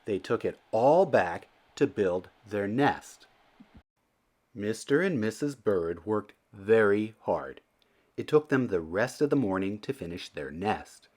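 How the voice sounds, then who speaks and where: A man reading a children's storybook aloud as narrator, in an expressive and deliberate storytelling manner. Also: fairly low pitch. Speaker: children's book reader.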